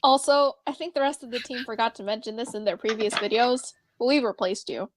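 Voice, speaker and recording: Woman as a high-voiced deadpan young tech whiz. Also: brisk, background noise, close to an everyday voice. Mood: deadpan, awkward. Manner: deadpan, dry, wordy. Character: awkward, wry, brainy young tech whiz. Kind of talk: amateur fan fiction dramatic reading.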